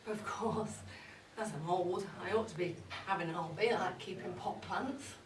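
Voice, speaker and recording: A woman's voice: lowish voice, normal speed, natural voice. A woman playing a cheeky, boozy older mother-in-law, gossiping in a wry, bawdy, confiding comic monologue. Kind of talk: comic dramatic monologue read to a live audience. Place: indoor live event at a pub. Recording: roomy, distant live recording with audience noise. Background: audience crowd.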